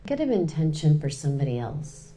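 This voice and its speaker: A woman leading a guided meditation in a soothing, unhurried way, pausing between short phrases. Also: sits low, sing-song, mellow, faint music behind.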